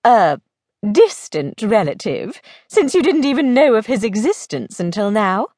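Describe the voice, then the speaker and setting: A woman voicing a pert, witty young society lady in an audiobook, arch, playful and sharp-tongued. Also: fairly high pitch, big pitch swings, unhurried, dry recording, clean studio sound. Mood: blunt, sarcastic.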